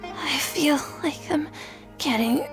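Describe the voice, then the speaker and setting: A sick little boy for a video game, very high and childlike. The speech is slow and halting, small and feeble, with an apologetic, frightened temper. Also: lively intonation, over music. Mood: weak, fearful.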